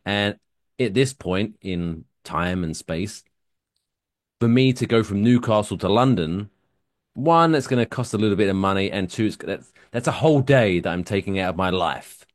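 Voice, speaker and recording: A man's voice: fairly low pitch, expressive, moderate pace, no room sound, lightly acted. A man quoting a laid-back, reluctant rock singer.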